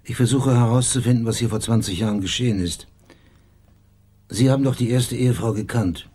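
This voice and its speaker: A man's voice: mid-range voice, a little fast, dark tone, breathy edge, natural voice. A man playing a persistent investigator in a radio crime drama, asking polite, matter-of-fact questions. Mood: quietly insistent. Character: probing, persistent male investigator on a case.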